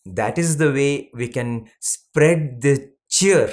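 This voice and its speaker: A man shares a devotional reflection in an unhurried, thoughtful way. He leans on key words with slow emphasis and lets some phrases drop almost to a whisper. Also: mid-range voice, darkish tone. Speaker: satsang speaker. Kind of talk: devotional storytelling conversation.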